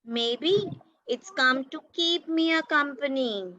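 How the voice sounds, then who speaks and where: Woman reading as a bright-voiced, high, eager young boy. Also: dry recording, muffled recording, heavily acted. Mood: wistful. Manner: slow, careful, halting reading. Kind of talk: reader's theatre read-aloud.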